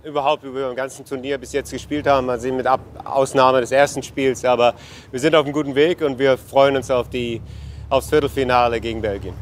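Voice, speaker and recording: Male coach from Berlin, halting interview answers, faint traffic behind. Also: mid-range voice, steady tone. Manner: hesitant, many fillers and restarts. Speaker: national basketball coach. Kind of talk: news interview.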